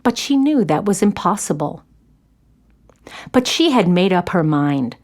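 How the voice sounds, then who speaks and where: A woman narrator reading a scripted children's story in an expressive storytelling manner. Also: on the low side, measured pace.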